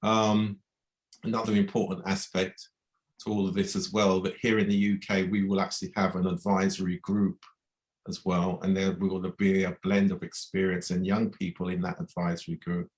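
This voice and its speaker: A man from the UK hosts an online community event in a very deep voice. His delivery is measured and level, with little rise and fall. He often restarts his phrases as he goes. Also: flat delivery. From United Kingdom. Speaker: host.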